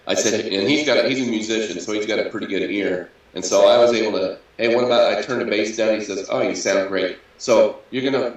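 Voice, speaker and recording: A man in his sixties with a bassy, raspy voice, talking casually and hesitantly with many fillers as an interview guest. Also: muffled recording. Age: 60s. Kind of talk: casual interview over a video call. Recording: echoey audio captured from a PC.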